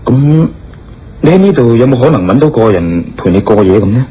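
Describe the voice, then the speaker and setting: An actor playing a concerned, tactful gentleman who speaks with careful hesitation in a radio drama. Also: medium pitch, lively intonation, fairly quick, breathy edge. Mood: probing, reassuring. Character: concerned, tactful gentleman probing and reassuring a stranger. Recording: dull lo-fi radio drama, music behind.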